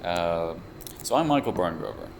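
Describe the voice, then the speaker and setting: A young man working as a VR researcher and developer, explaining his views in a measured, thoughtful way with frequent restarts. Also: sits low. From United States. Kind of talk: explanatory interview talk. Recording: steady air conditioner hum behind. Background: air conditioning hum.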